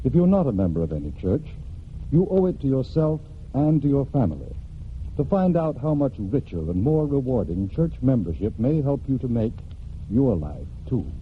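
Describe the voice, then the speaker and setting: A man narrates a religious message in old-time radio style. He is earnest and measured, with widely moving, middle-pitched intonation. The recording is thin and faintly hissy. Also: narrow, tinny audio. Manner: earnest, measured oratory with pauses. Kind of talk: scripted 1940s radio church message.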